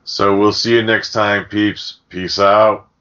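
Low-voiced man hosting a muffled podcast. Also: flat delivery, slow pace, bright, forceful tone, clear, resonant tone. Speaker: podcast host. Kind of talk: casual podcast chat.

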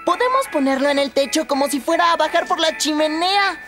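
A woman with a Latin American Spanish accent voicing an excitable, mischievous, wheedling young boy in a children's cartoon dub. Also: high voice, a little fast, natural voice. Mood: pleading. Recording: loud background music.

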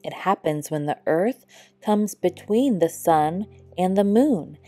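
A woman voicing a wise, gentle old male owl in a storybook, patient and measured as he explains. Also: on the low side, lively intonation, no echo. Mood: taken aback, explaining. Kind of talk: storybook character voice.

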